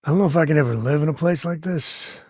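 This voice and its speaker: An actor playing a rugged, loyal space cowboy explorer in a video game, with a husky voice and a folksy, wry, plainspoken manner. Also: male voice, fairly high pitch, fast, dry recording, clean studio sound, lightly acted. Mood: easygoing, wry. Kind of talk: video game character voice lines.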